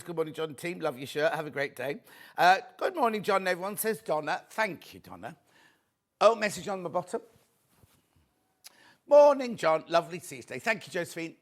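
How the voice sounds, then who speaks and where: A man hosting a live shopping show, chatty and friendly, reading out viewer messages with a slightly croaky voice. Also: high-pitched. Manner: chatty, reads viewer messages aloud warmly. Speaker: shopping channel host.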